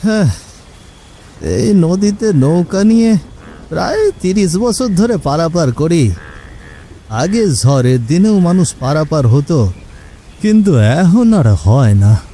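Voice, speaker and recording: A man voicing a weary, worried old boatman in a ghost story cartoon, plaintive and unhurried. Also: a little high, very animated, mellow, voice pushed up, full character voice. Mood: resigned.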